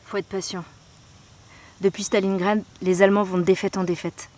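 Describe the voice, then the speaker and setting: A woman playing a calm, reassuring young villager in an audio drama. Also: medium pitch, moderate pace, lightly acted. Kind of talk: French audio drama acting.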